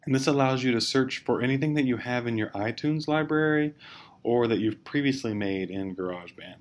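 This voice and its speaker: A man giving an informal, step-by-step software tutorial in a hesitant, explanatory way. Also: mid-range voice, brisk. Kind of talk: informal screencast walkthrough. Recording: clear background noise, amateur screencast.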